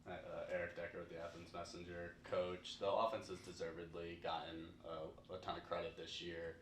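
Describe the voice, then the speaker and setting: A man asking a question at a press conference as a newspaper reporter, plain and measured. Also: low-pitched, completely flat delivery, a little hiss or hum. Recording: distant, roomy.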